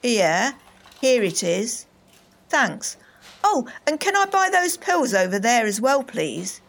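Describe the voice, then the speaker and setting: An actress playing a calm, polite middle-aged shopper who quietly steals. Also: female voice, a little high, slow, gravelly, exaggerated voice. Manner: calm, polite, matter-of-fact. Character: cool sly female shopper, forties, shoplifter.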